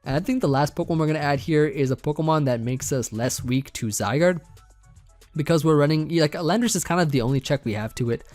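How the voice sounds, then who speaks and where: A young man casually talking through a team build, rambling with many fillers, his voice getting a bit hoarse. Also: fairly high pitch, quick pace, no echo, faint music behind. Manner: relaxed, rambling, many fillers and restarts, light laughs. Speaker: competitive Pokemon team builder. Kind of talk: casual gaming commentary over team building.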